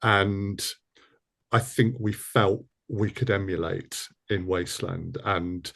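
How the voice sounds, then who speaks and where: A man's voice: deep voice, steady tone, takes it slowly, creaky, a bit bright. A man interviewing in a casual conversation, rambling and hesitant as he forms his questions. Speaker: interviewer and audio drama producer.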